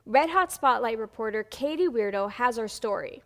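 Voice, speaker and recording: A young woman anchoring a student newscast in a bright, upbeat scripted delivery. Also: a little high, expressive, moderate pace, big-room sound, heavy background noise. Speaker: news anchor.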